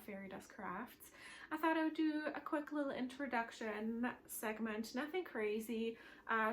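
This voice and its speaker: A woman's voice: on the high side, a little hiss or hum. A woman from Switzerland whose first language is German, hosting a knitting podcast from home in a rambling, chatty way full of fillers. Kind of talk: casual chat to camera.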